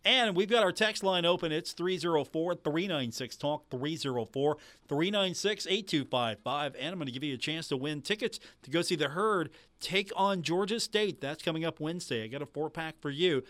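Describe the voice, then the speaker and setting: A man's voice: a little high, moderate pace, few pauses, a bit bright, no echo. Male sports radio host, dry close sound. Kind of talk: live sports talk radio hosting.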